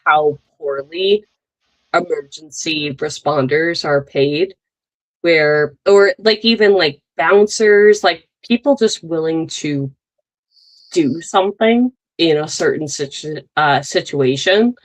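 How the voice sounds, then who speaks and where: A woman's voice: sits low, slow, rough recording. A woman in her thirties, co-hosting a podcast and talking in a loose, rambling way, full of fillers and restarts. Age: 30s. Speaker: podcast co-host. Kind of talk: casual chat.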